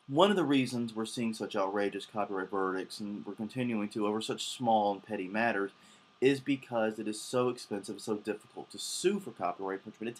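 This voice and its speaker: A man with a head cold and a slightly scratchy voice, explaining copyright damages in a casual monologue full of ums and uhs. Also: on the low side. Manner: frequent ums and uhs, thinking aloud. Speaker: blogger on copyright and plagiarism.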